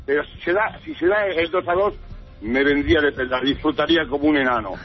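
A man's voice: a little high, a little fast. A man with an accent from Spain calling a radio show, blunt and wry in his casual opinions. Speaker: radio phone-in caller. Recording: dull radio call, music behind.

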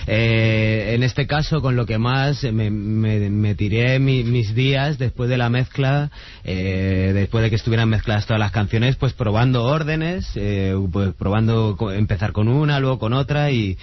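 Male musician, halting thoughtful guest, low voice, muffled radio sound. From Spain. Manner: halting, many restarts, thoughtful.

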